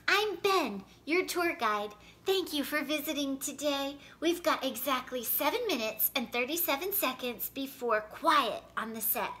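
A woman voicing a brisk, welcoming young male tour guide in a storybook, announcing emphatically. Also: sits high, expressive, pushed and bright, heavily acted.

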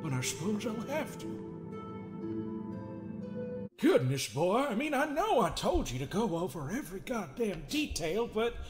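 A man's voice: high-pitched, very animated, moderate pace, over music. A man voicing an unhinged, sardonic therapist with folksy menace, theatrical and mocking, in an improvised comedy dub of a horror game. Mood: mocking, irritated.

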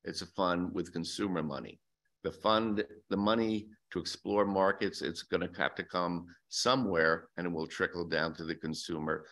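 Older guy in his 60s testifying, real deep gravelly voice, halting, desk mic. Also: fairly even intonation, fairly bright tone. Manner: hesitant, many fillers and restarts, defensive. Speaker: testifying witness for a business group. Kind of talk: hearing testimony. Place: legislative hearing room. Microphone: desk microphone.

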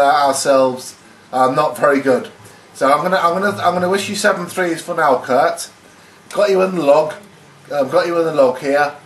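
A man's voice: fairly high pitch, fairly bright tone. A man chatting as an amateur radio operator in a hesitant way, repeating himself. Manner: hesitant, repeats phrases and spells words phonetically. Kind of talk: casual ham radio contact chat. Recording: echoey room, faint radio hiss.

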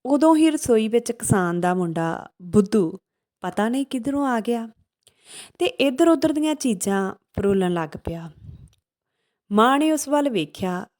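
High-voiced woman telling a children's folk tale. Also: expressive, a little slow, darkish tone. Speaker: storyteller.

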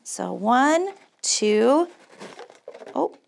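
Woman, American accent, high-pitched voice, upbeat children's host, encouraging, simple craft instructions. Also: big pitch swings, extremely slow, vocal fry, slight background noise. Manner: encouraging, upbeat, sing-song praise. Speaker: children's craft show host, camp counselor. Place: small indoor studio set.

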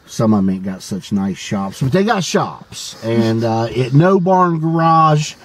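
A middle-aged man hosting a casual vlog from behind the wheel, talking in a relaxed, rambling, folksy way and laughing often. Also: medium pitch, a little slow. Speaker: channel host. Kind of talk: casual vlog talk while driving. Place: inside a moving car. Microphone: camera held by a passenger. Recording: loud road and engine noise, mic a little far.

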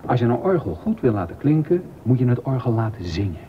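An actor playing a stern, exacting baroque composer and organist in a docudrama, emphatic and easily indignant. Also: male voice, sing-song, fast talker, natural voice. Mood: exacting, instructive. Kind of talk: docudrama radio acting. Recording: dull audio with rumbling noise.

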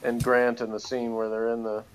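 A man giving his opinions in a casual review chat, talking in a halting, conversational way. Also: medium pitch, monotone, slight background noise, poor audio.

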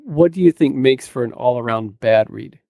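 Guy hosting a podcast, creaky voice, kinda halting questions, no room echo. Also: medium pitch, warm tone, studio quality. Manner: hesitant, halting phrasing. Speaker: podcast co-host, interviewer. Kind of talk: casual interview. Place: home room with books, on a video call. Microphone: close mic on a boom arm.

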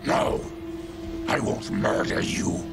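An actor playing a stern, honorable fanged mutant warrior in a video game, terse and solemn, in a gravelly growl. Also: male voice, sits low, huge pitch swings, slow, loud music behind the voice, exaggerated voice. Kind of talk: dramatic game cutscene acting.

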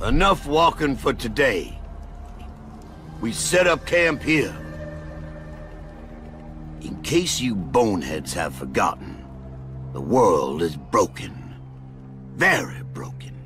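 An actor playing a gruff, weary older man, a forgetful former operative, in a video game cutscene. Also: lowish voice, animated, slow, long pauses, a bit bright, faint music behind. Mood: grim.

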